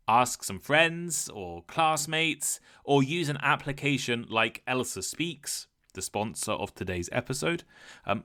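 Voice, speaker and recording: A man hosting a podcast, talking through a clear, measured, scripted lesson. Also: medium pitch, bright, forceful tone. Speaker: podcast host. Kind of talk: scripted educational monologue.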